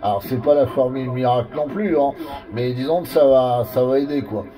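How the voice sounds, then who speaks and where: A man's voice: mid-range voice, brisk, warm tone. A man gives colloquial, explanatory advice in a casual two-way radio chat. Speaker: amateur radio operator. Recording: distant two-way radio sound.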